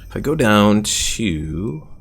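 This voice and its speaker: A man's voice: lowish voice, very animated, takes it slowly, a little hiss or hum. A man streaming a game with casual live commentary, thinking aloud as he plays. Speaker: gaming streamer.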